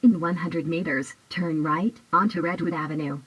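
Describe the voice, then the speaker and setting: A woman's synthetic GPS voice reads scripted navigation prompts on the low side of female pitch. It comes out of a device speaker, with some noise on the recording. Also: fairly even intonation, normal speed, a bit bright, dull audio.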